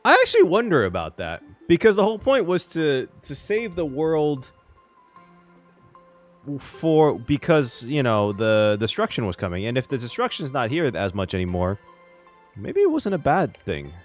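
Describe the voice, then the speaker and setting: A man's voice: medium pitch, wildly swooping pitch, moderate pace, soft background music. A man doing casual live reaction commentary on a game as a streamer, slangy and sweary, often repeating his phrases.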